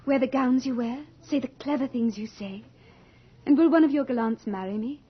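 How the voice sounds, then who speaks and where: An actress playing Death as a beautiful, imperious lady in a theatrical radio drama, speaking in a grand, coquettish, deliberate way. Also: high-pitched, breathy edge. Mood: probing, exultant. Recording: muffled vintage radio drama recording.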